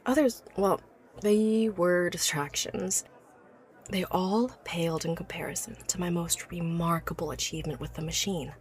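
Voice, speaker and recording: A woman's voice: lowish voice, fairly even intonation, moderate pace, close to an everyday voice. A woman playing an obsessive, learned inventor who recounts her life's work earnestly and articulately in an audio drama. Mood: wistful, confiding. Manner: articulate, earnest, stammers when awed by her subject.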